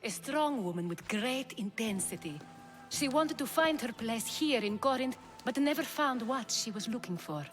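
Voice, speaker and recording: A woman's voice: on the high side, expressive, normal speed, faint music behind, natural voice. An actress playing a proud, commanding female leader in a video game, with a poised, dramatic delivery. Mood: reflective. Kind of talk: video game cutscene acting.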